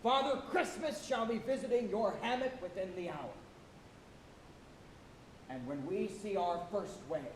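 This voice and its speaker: Man playing high-voiced, jovial whaling second mate. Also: slight background noise. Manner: jesting, rhetorical, unhurried. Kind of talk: theatrical stage acting, archaic sailor speech. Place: college auditorium stage, live performance. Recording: echoey theatre stage.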